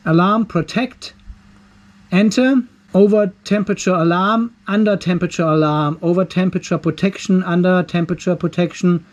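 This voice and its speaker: Man with a high voice, curious, thinking aloud, explaining a hands-on gadget inspection. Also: darkish tone. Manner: curious, musing, explaining as he goes. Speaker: channel host reviewing a home battery.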